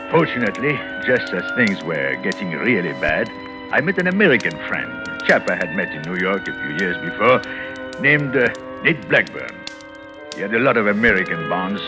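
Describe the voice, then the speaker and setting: An actor playing a smug, slippery swindler with a suave, sardonic, theatrical manner in an old-time radio drama. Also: male voice, on the low side. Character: smug, slippery male swindler, glib and evasive. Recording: vintage radio recording with faint music.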